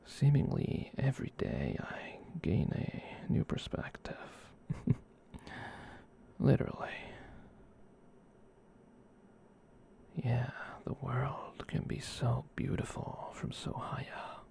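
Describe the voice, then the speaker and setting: A gentle giant catboy, acted out by a performer in an ASMR roleplay, murmuring in a heavily creaky whisper. The tone is mellow and soothing, and the speech rambles slowly and haltingly with cutesy touches. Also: mid-range voice, sing-song, heavily acted. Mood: wistful. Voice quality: soft breathy whisper with creaky edge. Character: gentle giant catboy, former wizard and alchemist, comforting.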